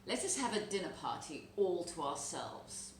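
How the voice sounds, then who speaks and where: A woman voicing a sly male fox gentleman from a storybook, with formal, courtly manners that can turn abrupt. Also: lowish voice, very animated, normal speed. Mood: courtly, coaxing. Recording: roomy echo, background noise.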